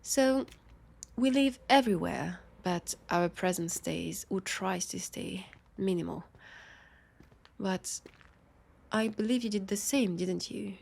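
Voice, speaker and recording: Woman acting a husky, creaky French-accented vampire detective. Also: medium pitch, darkish tone, full character voice. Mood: curious, conversational. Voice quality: husky, breathy with creaky edges. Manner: playful, dry teasing with lingering pauses. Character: teasing, haughty female vampire detective, sardonic new partner. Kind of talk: roleplay audio drama, intimate one-sided dialogue.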